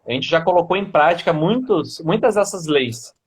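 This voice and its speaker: Husky, high-voiced male live-stream host. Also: animated, normal speed. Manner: welcoming, greets viewers by name. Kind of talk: hosting a motivational live stream.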